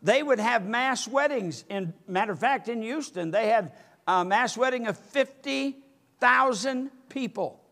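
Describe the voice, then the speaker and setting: A man's voice: sits high, fairly bright tone. An older man preaching a sermon from a church pulpit, speaking emphatically and gesturing as he goes. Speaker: pastor. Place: church sanctuary with empty pews.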